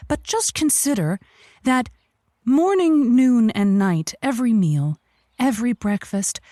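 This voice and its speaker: A woman playing an earnest, rambling advice host on an improvised comedy call-in, halting and theatrically emphatic. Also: on the high side, lively intonation, warm tone, no echo, studio quality. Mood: earnest, persuading.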